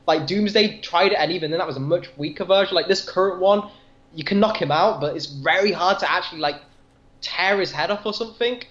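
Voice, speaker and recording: A man's voice: high-pitched, fairly quick, fairly bright tone. A man co-hosting a podcast, casually discussing comic books in a tentative, self-deprecating way. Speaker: podcast co-host. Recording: dull lo-fi audio.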